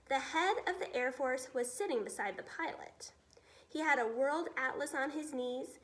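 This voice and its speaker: A woman reading a children's story aloud in an expressive teacher's storytelling style. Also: high voice, rough recording.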